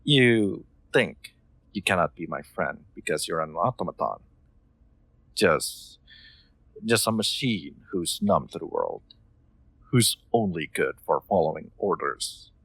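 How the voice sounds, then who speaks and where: A man playing a kind, soft-spoken master in an intimate comfort roleplay, gently reassuring with formal, slightly hesitant phrasing. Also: low voice, lively intonation, slow, creaky, husky, no echo, heavily acted. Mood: tender, persuasive.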